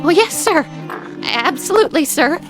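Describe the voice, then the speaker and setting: A high-voiced, flustered hotel maid. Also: female voice, expressive, moderate pace, music in the background. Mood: eager, polite. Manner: eager to please, nervously polite.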